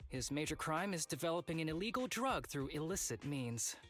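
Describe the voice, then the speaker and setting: Playful young agent with animated delivery. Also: male voice, a little high, a little fast, soft background music. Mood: explaining. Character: smooth-talking, playful young male agent briefing his partners. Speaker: game character voice actor. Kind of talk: scripted video game voice acting.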